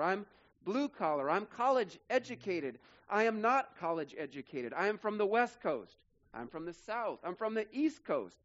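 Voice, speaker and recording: A man in his forties preaches as a pastor, earnest and emphatic, stacking up phrase after phrase. His voice sits a little high for a man. The recording sounds slightly muffled and lo-fi. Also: fairly bright tone. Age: 40s. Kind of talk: sermon.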